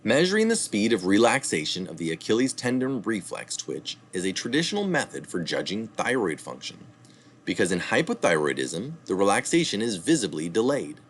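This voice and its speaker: A man's voice: lowish voice, big pitch swings, brisk. Man reading an article aloud. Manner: reads steadily, with small slips and asides. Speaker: reader of health articles.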